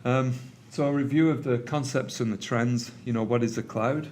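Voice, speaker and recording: A man's voice: medium pitch, steady tone, moderate pace, darkish tone, a little hiss or hum. Mancunian man giving a conference talk. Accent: Manchester. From England. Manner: conversational, with frequent ums and uhs. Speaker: presenter. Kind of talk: conference presentation. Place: meeting room with an audience.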